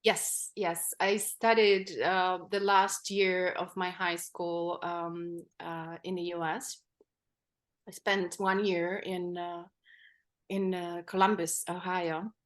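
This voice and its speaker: A woman in her forties, a native Polish speaker from the Czech Republic whose English carries an American tinge. She speaks as an interview guest in a measured way, pausing often with fillers. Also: fairly low pitch, flat delivery. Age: 40s. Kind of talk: reflective interview talk.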